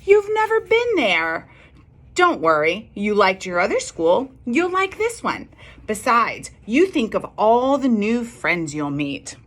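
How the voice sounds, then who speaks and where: A woman's voice: medium pitch, very animated, slow pace, fairly bright tone, full character voice. Storybook read-aloud role: affectionate man of the house, coaxing and firm performed by a woman. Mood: amused, reassuring. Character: cheerful, coaxing, firm man rousing reluctant Sarah.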